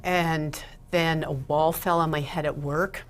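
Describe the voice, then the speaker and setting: A woman's voice: deep voice, steady tone, unhurried. A middle-aged woman from Ontario, Canada, a guest in an interview, talking casually and laughing between her thoughts. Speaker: guest, Mitzvah Technique teacher.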